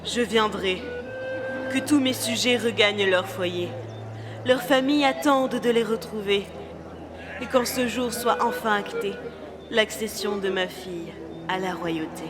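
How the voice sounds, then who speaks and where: A proud elf queen acted by a woman speaks solemnly and theatrically, weighed down by remorse. Her voice is mid-pitched under loud music, as in a fantasy audio drama. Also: unhurried, close to an everyday voice. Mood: resigned, regal.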